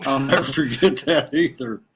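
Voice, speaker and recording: A middle-aged man from West Virginia, chatting casually as a guest in a halting way with pauses. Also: medium pitch, a crowd in the background. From West Virginia, USA. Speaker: podcast guest, kayak angler. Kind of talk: casual chat. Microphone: remote online call.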